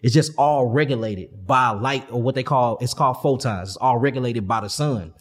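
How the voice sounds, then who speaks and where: A man in his thirties from St. Louis talks like an impassioned teacher. He explains emphatically in slangy language, building up like a preacher. His pitch is middling and the sound carries a long, hall-like reverb. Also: moderate pace. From St. Louis, Missouri, USA. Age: 30s. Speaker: interview guest, health and consciousness teacher.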